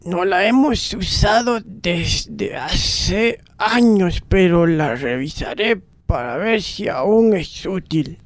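A woman playing a gruff but caring bookish man, grumbling and unhurried, in a radio drama. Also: on the low side, expressive, no room sound. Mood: concerned. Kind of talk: amateur radio drama acting.

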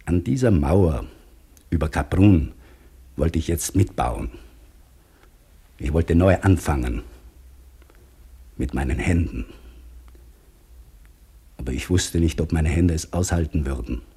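An actor playing an anxious geology professor who wants to start over as a labourer, narrating a German radio play reflectively and in measured phrases. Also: male voice, very deep voice, mellow, slightly rough, exaggerated voice. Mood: quiet dread. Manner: measured, reflective, dropping to near whisper.

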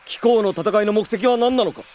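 An actor playing a stern, proud duke and commander in an anime-style radio drama, with commanding aristocratic speech. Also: male voice, sits high, brisk, background noise, natural voice. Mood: stern, sceptical.

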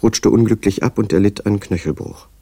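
Measured storyteller: low, gravelly, matter-of-fact, dry close studio sound, radio drama. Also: male voice, dark tone, dry recording. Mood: measured, factual. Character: measured male storyteller, a writer recounting another man's life. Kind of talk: scripted literary narration in a radio drama.

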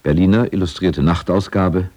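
A man's voice: low voice, steady tone, moderate pace, darkish tone. A man reading scripted documentary narration for radio in a matter-of-fact way.

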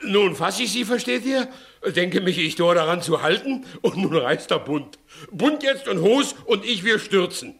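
An actor playing a shifty, blustering village judge in a theatrical 1960s German radio verse comedy. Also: male voice, high-pitched, lively intonation, a little slow, vocal fry, fairly bright tone, full character voice. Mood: blustering self-justification.

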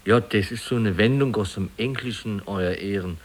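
A man's voice: on the low side, natural voice. A man playing a simple, unsure gate clerk in a radio play, with a hesitant, musing delivery. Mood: earnest, musing. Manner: hesitant, folksy, drawn-out musing. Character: slow, simple small-town gate clerk, folksy and unsure. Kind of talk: 1950s German radio play acting.

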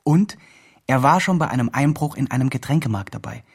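A man's voice: mid-range voice, animated, brisk, vocal fry, breathy edge. An actor playing an earnest activist who narrates his friend's progress in the first person in a radio play. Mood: proudly announcing.

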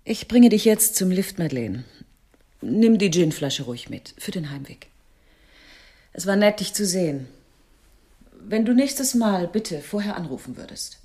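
A woman's voice: lowish voice, sing-song, unhurried, pauses often, dark tone, husky, exaggerated voice. An actress playing a composed chairwoman of an animal protection society in a radio play, courteous in a controlled, cool way. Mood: coolly dismissive. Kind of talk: radio play acting in dialogue.

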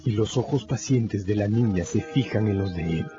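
Low-voiced solemn male radio drama narrator. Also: normal speed. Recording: dull recording, loud music and crowd behind.

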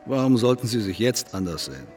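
An actor in a German radio drama playing a cool, cynical pragmatist, blunt, needling and matter-of-fact. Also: male voice, on the low side, sing-song, fast talker, a little mellow, soft background music. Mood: stern, provoking. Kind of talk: radio drama acting, literary dialogue.

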